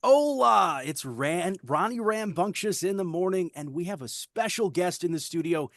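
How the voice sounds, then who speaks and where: Actor as a showy, swooping show host. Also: male voice, high-pitched, lively intonation, moderate pace, fairly bright tone, dry recording, studio quality. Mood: brash, gossipy. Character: brash middle-aged male radio talk show host, pageant announcer. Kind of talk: theatrical announcing at a table read.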